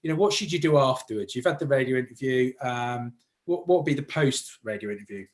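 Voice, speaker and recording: Fast-talking male interviewer. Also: medium pitch. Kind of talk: casual interview chat.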